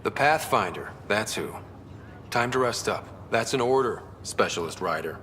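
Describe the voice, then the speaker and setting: A man's voice: on the low side, animated, normal speed, fairly bright tone, slight background noise. An actor playing a determined, wry young man who leads explorers in a sci-fi video game. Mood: playfully firm. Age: young adult. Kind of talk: naturalistic video game cutscene acting. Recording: long echoey reverb, faint music behind.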